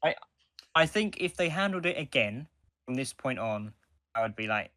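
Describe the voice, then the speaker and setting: A man co-hosting a casual podcast discussion, explaining in a halting, rambling way with restarts. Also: medium pitch, animated, sharp tone, dry recording, clean studio sound. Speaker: podcast co-host.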